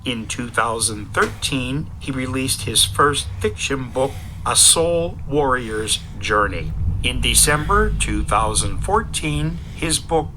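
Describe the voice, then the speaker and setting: Male narrator for scripted bio: slow pace, forceful bright tone, medium pitch. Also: slight background noise, low-quality recording. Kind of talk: scripted author biography narration.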